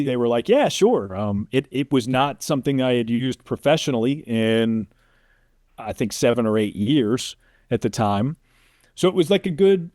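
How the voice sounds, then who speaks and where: Casting: middle-aged American male, medium-pitched, relaxed conversational interviewee, dry studio-like sound. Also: dry recording. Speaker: interview guest, sports play-by-play announcer. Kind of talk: casual interview talk.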